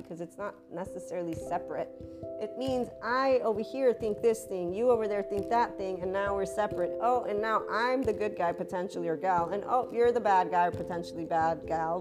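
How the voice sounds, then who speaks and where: A woman hosting a spiritual podcast, talking in a rambling, chatty way with asides; her voice is a little rough and deeper than usual today. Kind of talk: casual monologue.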